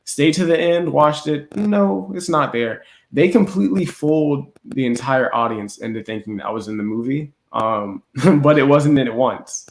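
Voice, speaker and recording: Male podcast host, mid-pitched, exasperated and sardonic in casual chat, clearly echoey room. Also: normal speed, few pauses. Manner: exasperated, sardonic mock-quoting. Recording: roomy echoing sound.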